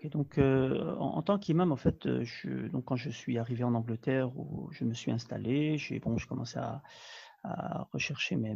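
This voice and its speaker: A man's voice: medium pitch, creaky, dark tone, husky, no room sound, highs cut off. A man in his thirties from Belgium, of Moroccan family, speaking as an imam in an interview, hesitant with many fillers and restarts. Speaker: imam, guest. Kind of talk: conversational interview.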